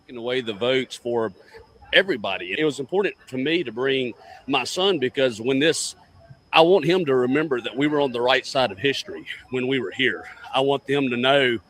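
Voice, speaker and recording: A man's voice: medium pitch, expressive, a little fast, fairly bright tone, low-quality recording. A man, a father, speaking sincerely as an interviewee.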